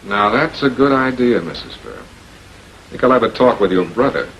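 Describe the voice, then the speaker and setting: Public prosecutor, 1940s crime drama. Measured, authoritative, quietly persistent. Faint film noise. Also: male voice, mid-range voice, lively intonation. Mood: firm, composed. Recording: noisy old 1940s film soundtrack.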